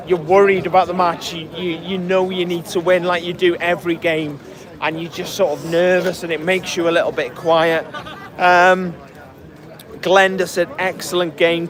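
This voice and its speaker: Hoarse, high-voiced man rambling about football. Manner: rambling, many fillers and restarts. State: hoarse, voice cracking. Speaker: podcast host. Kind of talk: casual post-match fan chat reading comments.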